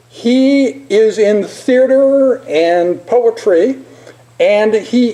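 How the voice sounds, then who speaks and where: An older man giving an informal presentation as a lecturer, halting at times with repeated words and pauses. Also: high voice, mellow, low-quality recording. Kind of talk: informal slide presentation with poetry recitation.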